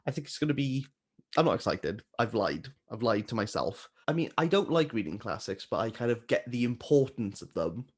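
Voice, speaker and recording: A man co-hosting a casual book chat, self-deprecating and quick to laugh. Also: mid-range voice, big pitch swings, husky. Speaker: booktuber co-host.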